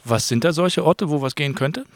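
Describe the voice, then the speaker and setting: A man's voice: a little high, animated, brisk, dry recording. A man working as an interviewer, asking questions in a casual, informal way.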